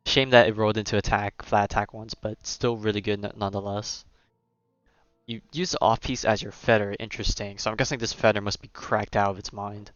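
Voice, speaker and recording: A man with a fairly low voice chats casually on a game stream, rambling as he thinks aloud. The sound is dry and slightly dull, with faint music behind him. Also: flat delivery, brisk, fairly bright tone, dry recording. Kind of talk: casual livestream gameplay commentary.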